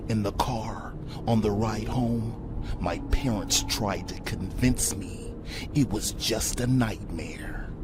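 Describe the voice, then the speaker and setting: A man reading a scripted first-person horror story in a hushed, often breathy delivery. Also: lowish voice. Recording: prominent background music under voice. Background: music.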